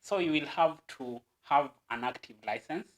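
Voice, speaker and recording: A man's voice: a little high, moderate pace. Earnest Kenyan man explaining step by step. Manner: earnest, explanatory, with stammered restarts. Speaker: guest. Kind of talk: explaining a process in an interview.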